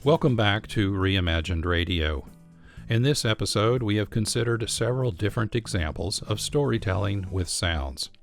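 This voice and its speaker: A man's voice: fairly low pitch, expressive, moderate pace, faint music behind. An American man hosting a radio program with scripted narration. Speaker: radio show host.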